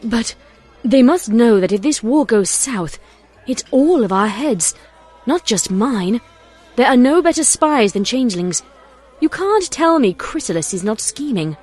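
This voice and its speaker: A woman's voice: a little high, lively intonation, unhurried, darkish tone. Woman doing a stately pony night queen, formal and burdened, audio drama, bit of music behind. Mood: insistent.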